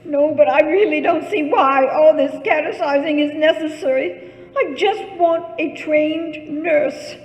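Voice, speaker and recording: A woman's voice: high voice, unhurried. A woman playing a frightened, imperious, self-pitying invalid in a radio thriller, stammering and fretful in melodramatic 1940s style. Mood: demanding, agitated. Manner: stammering, breathless, fretful and demanding. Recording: echoey hall with long reverb.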